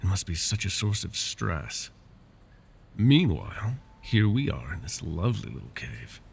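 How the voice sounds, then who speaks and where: A man voicing a possessive, scheming male dragon in an ASMR roleplay, teasing and drifting into soft whispers. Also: sing-song, a little slow, heavily acted. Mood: coaxing. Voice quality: gravelly, low.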